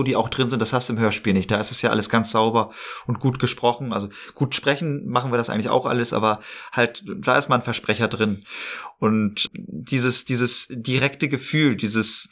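A man's voice: medium pitch, fairly bright tone. A man chatting informally as a guest in an interview, talking as an improv performer. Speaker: guest, improv player in a role-playing podcast. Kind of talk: casual interview chat.

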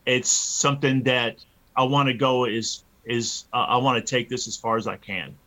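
A man in his early fifties with a bright, forceful voice at a middling pitch. He talks informally and earnestly as a musician being interviewed, often hesitating as he finds his phrasing. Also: fairly even intonation, normal speed. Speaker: interview guest, metal band musician. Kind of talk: casual interview chat.

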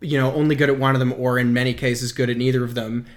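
A woman's voice: rumbling low, steady tone, fast. A woman co-hosting a podcast, talking casually and critically about comedians, with many fillers. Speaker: podcast co-host. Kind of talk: casual political chat.

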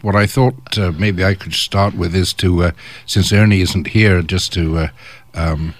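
Older Englishman with a very deep voice. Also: measured pace. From England. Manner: chatty, hesitant storyteller. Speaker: guest. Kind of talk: radio interview. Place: radio studio.

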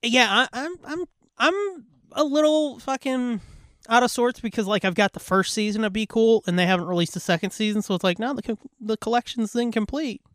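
A man co-hosting a podcast, chatting casually about pop culture in an animated, profanity-laced fan style. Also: very high-pitched, brisk, no room sound, clean studio sound. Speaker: podcast co-host.

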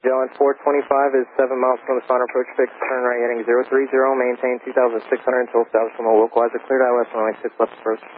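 A man's voice: medium pitch, little pitch movement, racing, few pauses, narrow, tinny audio. A man working as an air traffic controller, speaking in clipped standard phraseology over an aviation radio.

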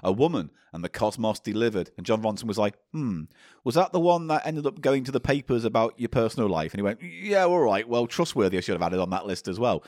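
A man's voice: lowish voice, fast talker, dry recording, clean studio sound. A man co-hosting a comedy podcast, bantering and laughing as he jokes. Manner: animated, laughing, jokey. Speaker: podcast co-host.

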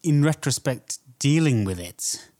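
A man's voice: mid-range voice, animated, a little slow, mellow. A man co-hosting a podcast, talking through a film in a tentative, thoughtful, conversational way. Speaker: podcast co-host. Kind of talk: casual film discussion.

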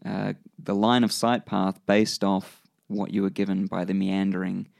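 Male co-host with a New Zealand accent, lowish gravelly voice, dry close recording, relaxed explainer with a dry wit. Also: moderate pace, no echo. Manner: relaxed explainer with dry humor, frequent ums. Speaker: podcast co-host. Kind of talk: casual science chat.

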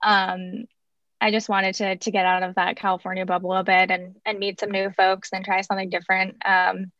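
A young woman from San Francisco with a slightly raspy voice talks casually about her career, full of ums and self-corrections. The sound is dry and close, a little dull in the highs. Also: flat delivery, normal speed, fairly bright tone, no echo. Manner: chatty, many ums and restarts. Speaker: guest, music marketing head. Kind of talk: casual interview chat.

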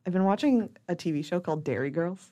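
A woman's voice: lowish voice, expressive, fast, warm tone. A woman co-hosting a podcast, explaining at length in casual chat and restarting sentences as she thinks aloud. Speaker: podcast co-host.

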